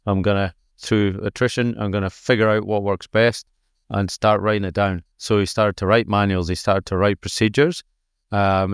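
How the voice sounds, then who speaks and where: A man's voice: lowish voice, clean tone, no room sound, studio quality. A man with a Scottish accent, a podcast guest explaining history in a conversational way with many fillers. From Scotland. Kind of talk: conversational storytelling. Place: conference exhibition booth.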